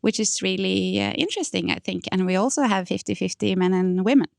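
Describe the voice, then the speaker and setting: Gravelly-voiced woman hosting a talk. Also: mid-range voice, a little fast, dry recording, clean studio sound. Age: 40s. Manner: hesitant, many fillers and restarts, laughs easily. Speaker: gallery owner and host. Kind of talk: casual panel talk.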